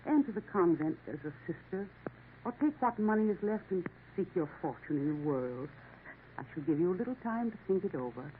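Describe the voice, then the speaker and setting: An actress playing a stern, solemn elderly Mother Superior in a 1950s radio drama, measured and formal. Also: fairly low pitch, expressive, natural voice. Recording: tinny old radio broadcast, faint hiss.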